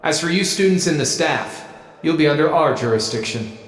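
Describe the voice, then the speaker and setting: An actor playing a cocky, wry young rebel mech pilot in a video game cutscene. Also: male voice, fairly high pitch, animated, normal speed, a bit bright, faint music behind. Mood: wry, menacing. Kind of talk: English dub video game cutscene acting. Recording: roomy echo, faint background noise.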